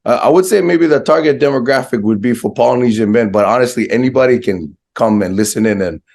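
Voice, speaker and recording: A man with a slightly hoarse voice hosting a casual, brotherly interview in informal slang. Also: mid-range voice, quick pace, darkish tone. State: hoarse, recovering from a viral infection. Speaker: podcast host.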